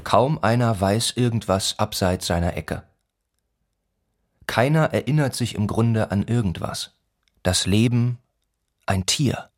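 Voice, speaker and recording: A man narrating a literary radio play with terse, deliberate phrasing. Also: lowish voice.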